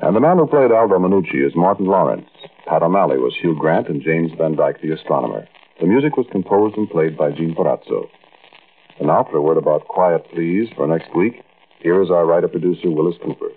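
A man's voice: deep voice, expressive, fairly quick, husky. A man announcing credits and signing off in the style of 1940s radio. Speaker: radio show announcer and star. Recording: muffled, noisy old radio recording.

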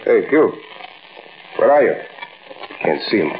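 An actor with an American accent playing an uneasy, rough small-time crook in a 1940s radio drama, talking in clipped slang. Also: male voice, fairly low pitch, big pitch swings, slow. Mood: anxious calling. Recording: tinny, echoey old radio with hiss.

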